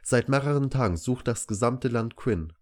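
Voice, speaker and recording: A man's voice: medium pitch, animated, normal speed, a little mellow, pitched above the performer's own voice, close to an everyday voice. A man voicing a formal, factual television news reporter within a story. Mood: formal, urgent. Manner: formal, factual newsreader delivery.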